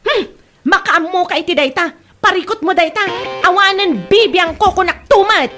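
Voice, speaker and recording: Woman acting a very high-voiced Ilocano nag. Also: expressive, normal speed, sharp tone, soft background music, full character voice. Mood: impatient, dismissive. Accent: Filipino, Ilocano. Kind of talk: comedy audio drama acting.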